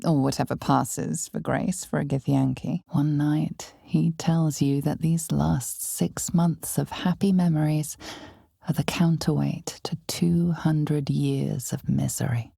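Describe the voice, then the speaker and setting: A woman playing the narrator of a dark fantasy game, solemn and theatrical, with measured, deliberate phrasing and a hushed, breathy edge. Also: deep voice, dry recording, clean studio sound, heavily acted. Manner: measured, deliberate, theatrical delivery with weighted pauses.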